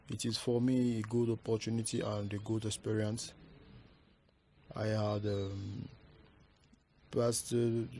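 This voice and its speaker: Slow, hesitant man from Cameroon. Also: on the low side, dark tone, a little hiss or hum. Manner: hesitant, searching for words. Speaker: MMA fighter guest. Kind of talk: interview answers in halting English.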